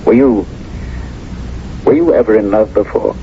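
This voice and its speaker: An actor playing a troubled, earnest war veteran in love in a 1970s radio drama, speaking hesitantly. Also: male voice, on the low side, moderate pace, gravelly. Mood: earnest, smitten. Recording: dull, noisy old radio recording.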